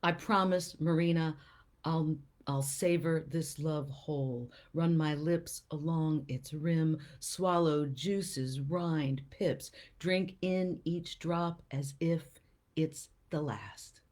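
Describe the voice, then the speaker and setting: Female host, low pitch, slow unhurried delivery; publisher chatting, then reading poetry with lingering phrasing. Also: darkish tone. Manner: unhurried, lingering over poem lines. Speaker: poet and small press publisher, show host. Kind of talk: casual talk and poetry reading.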